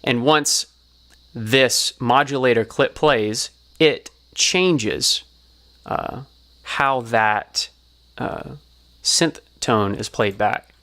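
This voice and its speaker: A man's voice: mid-range voice, very slow, pushed and bright. A man giving an explanatory tutorial as a sound designer, talking through it step by step.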